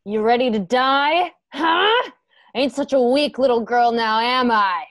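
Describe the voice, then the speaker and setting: A woman's voice: a little high, animated, unhurried, a bit bright, no room sound, studio quality. A woman playing a fierce, bitter young fighter in a radio drama, with a drawl and a defiant, menacing manner. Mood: furious, taunting. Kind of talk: radio drama acting over video call.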